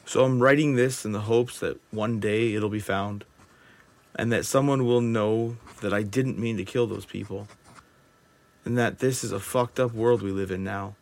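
Man acting doomed journal-writing survivor, audio drama, lowish voice, guilt-ridden, resigned, confessional. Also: steady tone, moderate pace, no echo, lightly acted. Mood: despairing, resigned. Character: despairing, guilt-ridden male zombie-apocalypse survivor writing final journal entry.

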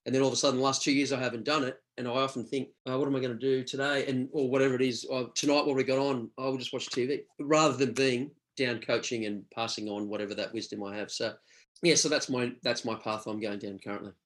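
A man's voice: medium pitch, fairly even intonation. Aussie guy in his 40s, ex rugby player, rambly and thoughtful, lots of restarts, regular mid voice. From Australia. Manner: reflective, halting with many restarts. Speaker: former rugby player, guest. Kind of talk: casual conversational interview.

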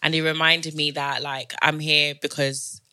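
A woman's voice: deep voice, flat delivery, a little slow, pushed and bright, clean tone. A young woman from Zimbabwe and south London, a native Shona speaker with a London accent, chatting casually and slangily as a podcast guest. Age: young adult.

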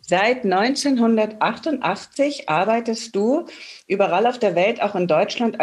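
German woman hosting a podcast. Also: mid-range voice. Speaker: podcast host. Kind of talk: interview questions and intro.

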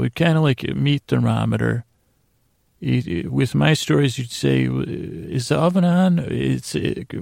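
Very creaky, meandering man's voice. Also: mid-range voice, a little mellow, no room sound. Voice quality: creaky, gravelly. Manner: meandering, hesitant, with long pauses and stammered restarts. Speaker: host of a bedtime story sleep podcast. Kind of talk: rambling, soothing bedtime monologue. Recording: faint music under a dry voice.

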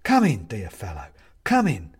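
A man's voice: lowish voice, wildly swooping pitch, a little slow, slightly rough, full character voice. A man voicing a jovial, fussy china-figurine ghost in a storybook, chatty and hearty with old-fashioned British turns of phrase. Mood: hearty, welcoming. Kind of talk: storybook character voice.

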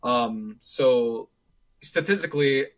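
A man's voice: mid-range voice, slow pace. A man in his twenties chatting casually about gaming, rambling with lots of fillers and restarts. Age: 20s. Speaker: streamer.

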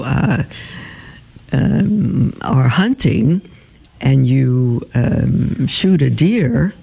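A man hosting a religious advice show, reading listener emails aloud and answering them in a halting way with many pauses and fillers. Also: mid-range voice, gravelly, mellow, slightly rough, rough recording.

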